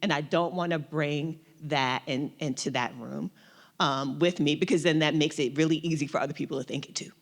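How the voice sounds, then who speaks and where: Low-voiced woman giving earnest panel advice. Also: steady tone, fairly quick. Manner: earnest, reflective, repeats words. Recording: reverberant hall.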